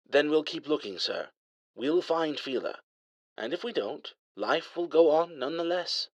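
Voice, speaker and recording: A man's voice: fairly high pitch, expressive, measured pace, no echo, voice pushed up. A man voicing a prim, dryly sarcastic male ship AI that speaks with a light English accent. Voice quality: smooth, polite, dry.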